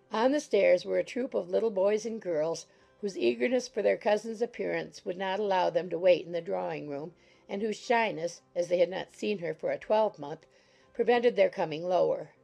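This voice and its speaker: A woman reading a classic novel as an audiobook narrator, in a formal, expressive storytelling manner. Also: medium pitch, creaky. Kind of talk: scripted literary narration.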